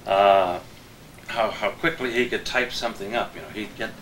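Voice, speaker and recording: A man's voice: fairly low pitch, normal speed, fairly bright tone, a little hiss or hum. An older man reminiscing casually in a folksy, agreeable way. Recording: distant mic in echoey room.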